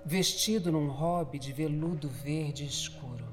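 A woman with a Brazilian accent narrating a horror radio drama from a script, in a deliberate, dramatic storytelling manner. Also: sits low, over music.

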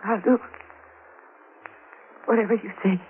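An actress playing a frightened but resolute housewife in 1940s radio drama style, earnest and anxious. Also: female voice, medium pitch, fairly even intonation, unhurried, close to an everyday voice. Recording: noisy, tinny old-time radio recording.

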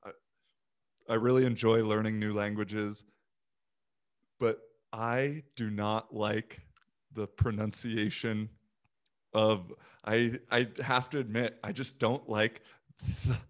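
A man's voice: lowish voice, level tone, takes it slowly, long pauses. A man in casual conversation, chatty and informal, peppering his talk with filler words like "like" and "you know". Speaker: youth sports coach and language learner.

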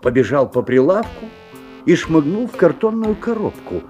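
An actor playing a bemused, observant father who narrates a magical story in a radio drama, with measured, expressive storytelling. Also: on the high side, soft background music. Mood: wondering.

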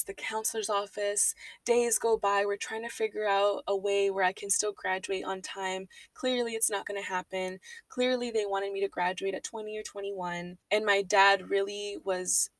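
A woman's voice: medium pitch, no room sound, far from the mic. A woman in her twenties from Texas, hosting a podcast and candidly telling her life story with emotion. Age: 20s. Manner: candid, emotional, fillers and restarts. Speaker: podcast host. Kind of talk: personal storytelling monologue.

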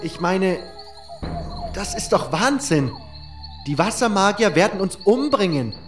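Man voicing an anxious, high-pitched young mage. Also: big pitch swings, unhurried, faint music behind. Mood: worried, agitated. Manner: hesitant, pleading. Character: anxious young male mage, worried friend. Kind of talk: audiobook character voice.

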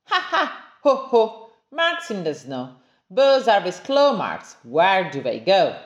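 A woman's voice: mid-range voice, wildly swooping pitch, slow pace, roomy sound, lo-fi sound, voice pushed up. A woman voicing a curious, plucky young girl monster in a singsong, questioning storybook manner. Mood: playful, curious.

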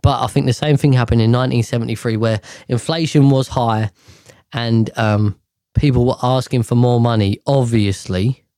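A man's voice: medium pitch, fairly even intonation, normal speed, mellow, no echo, studio quality. A man ranting casually to camera, profane and exasperated, rambling with sighs and restarts.

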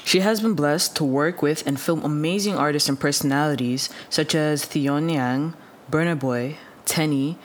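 A woman hosting a podcast. She reads a guest introduction, then asks questions. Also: sits low. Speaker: podcast host. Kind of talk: podcast interviewing.

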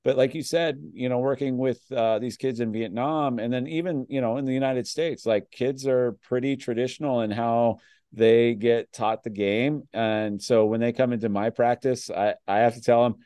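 A man's voice: medium pitch, fairly even intonation, normal speed, mellow, no room sound, studio quality. A man hosting a coaching podcast in a conversational way, with frequent fillers. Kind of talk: casual podcast interview.

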